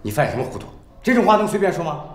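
A man's voice: on the high side, very animated, very fast. A man playing an exasperated prison officer in a film drama, urgent and admonishing. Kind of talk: naturalistic film acting. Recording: echoey room.

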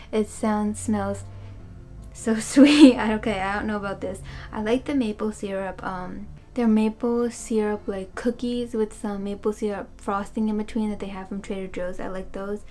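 A young woman chatting casually through a snack taste test, thinking aloud with lots of fillers, recorded on a webcam in a small room. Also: medium pitch. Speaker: vlogger reviewing snacks. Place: small room, seated on a couch.

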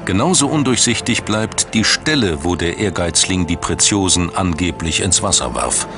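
A man's voice: low-pitched, animated, moderate pace. A man reading scripted documentary narration as a studio voice-over. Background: music.

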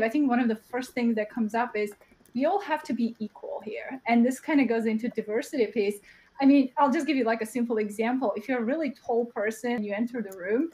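A young woman with a high voice, answering as a panelist on a video call, earnest and thinking aloud, often tagging her points with "right?". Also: a little mellow. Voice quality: high-pitched voice. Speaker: panelist, platform co-founder. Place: home room. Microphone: webcam on video call.

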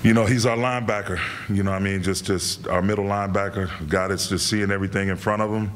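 A middle-aged man, a head coach, answering reporters' questions after a game in a measured way, with many fillers and repeated words. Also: fairly low pitch, fairly even intonation, a little hiss or hum. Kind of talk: postgame press conference answers. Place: press room in front of a sponsor backdrop.

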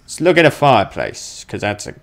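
A man's voice: mid-range voice, wildly swooping pitch, fairly quick, vocal fry. A man giving casual, goofy let's play commentary on a horror game, talking to himself as he plays.

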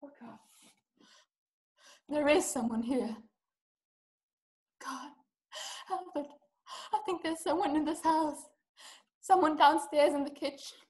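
Housebound wife, distraught and submissive, young actress, high voice, unhurried delivery, video-call play. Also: female voice, roomy sound. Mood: terrified, pleading. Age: young adult. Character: fragile, obedient housebound wife, distraught and pleading. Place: small room indoors. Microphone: video call, webcam.

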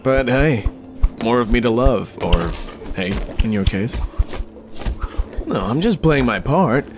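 Man playing a slow-talking, cocky flirtatious supervillain. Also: medium pitch, animated, music in the background. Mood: teasing. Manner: teasing, smug, chuckling banter. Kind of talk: ASMR roleplay acting.

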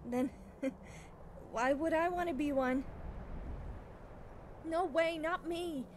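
A woman's voice: high-pitched, takes it slowly, darkish tone, slight background noise. A young woman playing an eager, nervous, cheerfully amoral witch student in video game dialogue, chatty and girlish, often hesitating and backtracking. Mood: defensive, uneasy.

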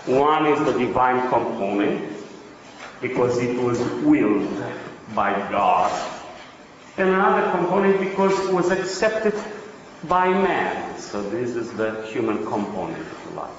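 A man's voice: mid-range voice, sing-song, unhurried, a little hiss or hum. A man with a Romanian accent delivers an academic lecture, often posing a question and then answering it himself. He is picked up from a distance in a lecture room. The sound rings with long reverb and comes across muffled and dull. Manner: explanatory, asks and answers own questions. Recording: distant, echoey, dull lecture hall recording.

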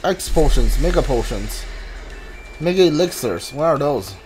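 A man's voice: a little high, animated, a little slow, a little mellow, slight background noise, poor audio. A man streaming a video game with casual commentary, thinking aloud in fragments and talking to the characters. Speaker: video game streamer playing a blind playthrough.

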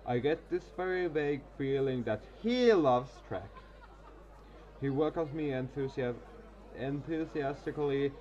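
A man's voice: medium pitch, a little mellow, muffled recording. A man reading a visual novel aloud on camera, casual and tripping over words. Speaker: gaming youtuber. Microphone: desk mic, webcam.

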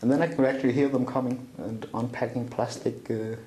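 A man's voice: mid-range voice, steady tone, brisk, mellow, echoey room, rough recording. A middle-aged man with a Danish accent recounting a personal story in an interview, with many fillers and restarts. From Denmark. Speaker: interview guest. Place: small room indoors, seated at a table.

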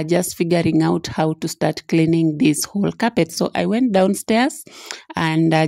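A woman's voice: lowish voice, gravelly, no room sound, clean studio sound. A woman in her thirties narrating her home cleaning in a casual, chatty way, with lots of "uh" fillers. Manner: relaxed, many "uh" fillers, chatty.

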